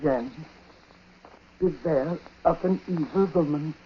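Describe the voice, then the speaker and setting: An actor playing a dying, bitter, superstitious old uncle, speaking gravely in broken phrases, in 1940s radio drama style. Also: male voice, on the high side, takes it slowly. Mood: grave warning. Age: older. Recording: noisy, muffled 1940s radio recording.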